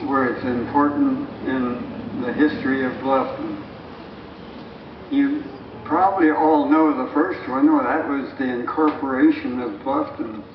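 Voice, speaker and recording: A man playing a photographer's grandson, giving a mock-pompous, teasingly condescending speech in a live historical dramatization. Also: fairly high pitch. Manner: theatrical, mock-pompous, drawn-out delivery. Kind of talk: live costumed historical dramatization, addressing an audience. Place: large room at a live event, far microphone. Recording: echoey, distant, muffled, noisy live hall recording.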